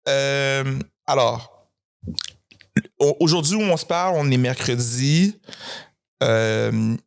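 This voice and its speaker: A man, a podcast guest and author, speaking in a thoughtful, measured way with frequent hesitations. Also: medium pitch, vocal fry, clean tone. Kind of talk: casual conversation.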